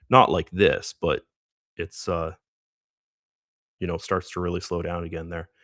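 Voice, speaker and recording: A middle-aged man hosting a live trading stream, hoarse and losing his voice, who rambles casually through market commentary with many fillers. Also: deep voice, a bit bright, dry recording, clean studio sound. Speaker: trading livestream host.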